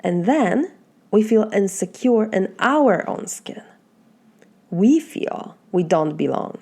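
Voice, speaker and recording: A woman from Poland with a Polish accent, speaking as a life coach in a motivational talk and asking slow, earnest, reflective questions. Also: medium pitch, very animated, mellow, breathy edge.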